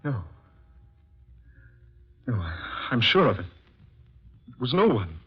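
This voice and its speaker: An actor playing a genteel, formal gentleman who is haunted and easily rattled, in theatrical 1940s radio horror style. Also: mid-range voice, very animated, slow, breathy edge, heavily acted. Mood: frightened. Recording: dull 1940s radio recording, loud music behind.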